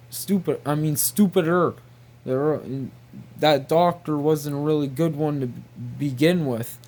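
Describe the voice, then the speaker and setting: Slow-talking, exasperated man. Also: mid-range voice, dark tone. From New Brunswick, Canada. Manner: exasperated, incredulous, repetitive, mild slang filler swearing. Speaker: video creator. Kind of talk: casual rambling commentary to viewers.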